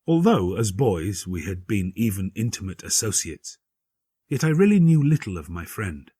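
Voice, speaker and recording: A man giving a dramatic gothic audiobook narration in a grave, measured, theatrical manner, with a husky voice. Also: fairly low pitch, very animated, dark tone, no room sound. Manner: grave, measured, theatrical delivery with formal literary phrasing.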